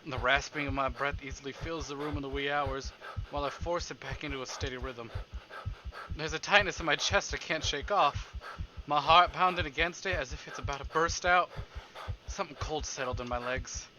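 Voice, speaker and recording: A man streaming a visual novel, reading it aloud theatrically and deliberately, with livelier asides. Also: fairly high pitch, noisy background. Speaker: game streamer. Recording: music behind the voice. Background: game background music.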